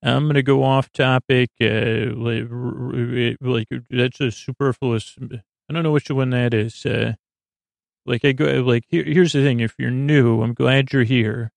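Creaky, meandering man hosting a sleep podcast. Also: mid-range voice, fairly even intonation, dry recording. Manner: meandering, halting, with stammers and drifting tangents. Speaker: podcast host. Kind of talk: rambling bedtime monologue meant to lull listeners to sleep.

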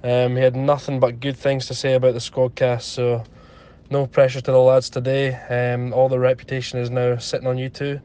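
A man recording a polite, slightly halting voice message for a podcast audience. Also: medium pitch, completely flat delivery, warm tone, smooth. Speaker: absent co-host sending a recorded message.

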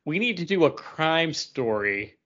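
A man's voice: a little high, big pitch swings, measured pace, a bit bright. A man chatting casually as a podcast co-host, with a wry, joking manner. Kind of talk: casual chat. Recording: dull lo-fi audio.

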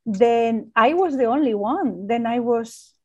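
A woman's voice: a little high, lively intonation, measured pace, warm tone, husky, dull audio. Spanish-accented woman, laughing as she talks. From Spain. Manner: searching for words, laughs often, earnest. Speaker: architect, guest. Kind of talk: interview conversation.

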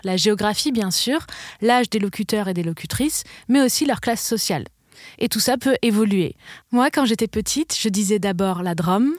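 Woman podcast host, clear measured narration, dead dry studio sound. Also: medium pitch, a little mellow, no room sound. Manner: clear, measured, articulate delivery. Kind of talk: scripted narration and interview.

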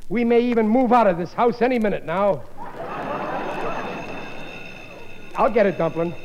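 A blustery, none-too-bright working-class American family man, pitched high for a male voice. He exclaims and dramatizes in vintage radio comedy style, with rough grammar. The sound is muffled old broadcast audio. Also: fast talker, background noise. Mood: boastful. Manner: blustery, exclaiming, ungrammatical everyman talk. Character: blustering, dim-witted working-class husband and father, melodramatic. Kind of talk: 1950s radio sitcom acting.

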